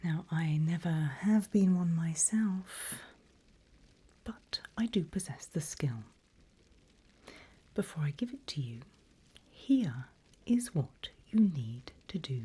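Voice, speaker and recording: Breathy, whispering woman as a sly demon mentor. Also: on the low side, animated, exaggerated voice. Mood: sly, ominous. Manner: slow, teasing, deliberate, hushed. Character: seasoned female demon mentor, vain, teasing, darkly playful. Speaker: demon guide training a newcomer. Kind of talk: whispered ASMR roleplay.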